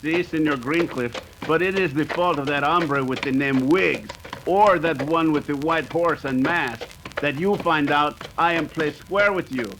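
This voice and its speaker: A man's voice: a little high, measured pace, background noise. A man playing a vengeful, scheming outlaw leader with a put-on Mexican Spanish accent in an old western radio drama. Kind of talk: 1930s western radio acting.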